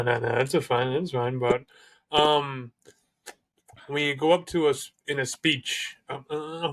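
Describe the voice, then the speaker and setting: A man hosts a relaxed conversation in a casual, loose way, with plenty of "um" and "like." He stutters himself and talks about it plainly. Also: mid-range voice. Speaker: host. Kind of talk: conversational interview.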